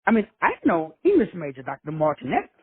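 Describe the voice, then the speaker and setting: A man's voice: fairly high pitch, huge pitch swings, fast talker, breathy edge, no room sound. A man from Texas hosting a call-in show, preaching profane motivation with swagger and slang. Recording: tinny phone line, dry.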